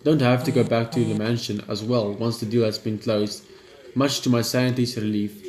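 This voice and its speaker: A man's voice: medium pitch, little pitch movement, normal speed, darkish tone, echoey room. A man reading a horror visual novel aloud and commenting as he plays, in a plain way with stumbles over words. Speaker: gaming YouTuber.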